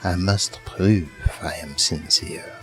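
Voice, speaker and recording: A man's voice: deep voice, takes it slowly, faint music behind. A man playing a romantic, flirtatious French charmer with a courtly, earnest manner in an amateur audio drama. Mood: earnest, tender. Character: romantic French-speaking male charmer and idle drinker.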